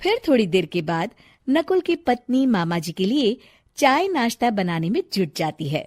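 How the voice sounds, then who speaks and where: A woman's voice: medium pitch, big pitch swings, normal speed, a little mellow. A woman narrating a scripted comic story as a storyteller.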